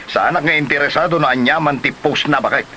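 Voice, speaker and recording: A man acting as a mocking, argumentative husband with an Ilocano accent. His bright voice leaps about in dismissive exclamations, in a comedy drama recorded with hissy noise behind. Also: on the high side, lively intonation, fairly quick. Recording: clear hissy background noise.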